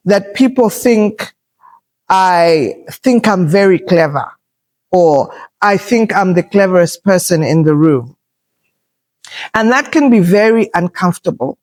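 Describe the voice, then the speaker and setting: A woman in her fifties with a loud voice, talking in a reflective, assertive way as a podcast host and pausing often on fillers. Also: medium pitch, expressive. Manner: assertive, unhurried, thoughtful with filler pauses. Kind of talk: reflective conversational talk.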